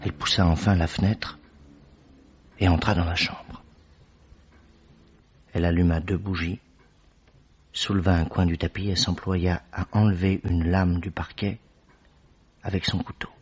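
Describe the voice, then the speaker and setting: Here is a man performing a loyal doctor narrating a radio drama in a measured, storytelling voice. His voice sits very low and has a husky edge. The sound is dull and lo-fi, like an old radio recording. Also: little pitch movement. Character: Dr Watson, loyal male companion and narrator.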